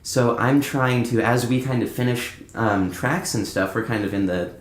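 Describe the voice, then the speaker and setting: A man's voice: medium pitch, moderate pace. Echoey man chatting casually. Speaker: podcast guest, band musician. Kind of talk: casual conversational interview, telling anecdotes. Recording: roomy, echoing indoor sound.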